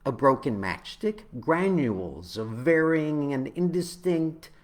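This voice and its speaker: A man reading his own spoken-word poem, with halting, deliberate phrasing that moves between dramatic swells and hushed drops. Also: fairly high pitch, animated.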